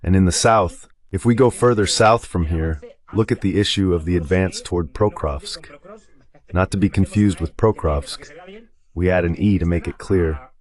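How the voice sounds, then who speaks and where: A man with a low-pitched voice works through military commentary as an analyst. He explains step by step and drops in conversational asides, in the manner of a translated voice-over. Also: normal speed, darkish tone. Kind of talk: live war commentary read as an AI voice-over translation.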